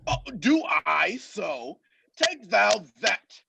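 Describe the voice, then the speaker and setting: A man's voice: high voice, sing-song, extremely slow, creaky, very noisy, exaggerated voice. An actor playing a pompous, bossy drunkard who lords it over his companions in a Shakespearean radio play. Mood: threatening. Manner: pompous, commanding, archaic speech. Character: blustering, bossy drunken man playing lord.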